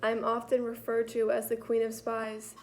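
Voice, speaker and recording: A woman playing a poised, daring female French spy chief in a school role-play interview, recounting calmly and matter-of-factly. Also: a little high, moderate pace, slight background noise, natural voice. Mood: composed.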